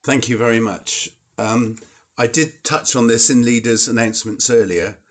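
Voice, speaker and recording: A man's voice: mid-range voice, steady tone, moderate pace. A man, a council leader, delivering a formal prepared report in a meeting.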